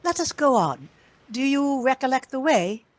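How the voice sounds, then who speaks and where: A woman playing an ageless spirit, gentle yet commanding, with stately, solemn delivery in a radio play. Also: high voice, big pitch swings, normal speed, dry recording. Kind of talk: theatrical radio play acting.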